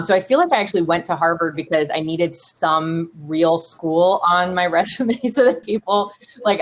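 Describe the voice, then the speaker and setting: A woman in her thirties from Miami whose first language is Spanish, telling her story in a casual interview with lots of fillers and animated asides. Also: low-pitched. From Miami, Florida. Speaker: startup CEO and keynote guest. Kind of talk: conversational interview.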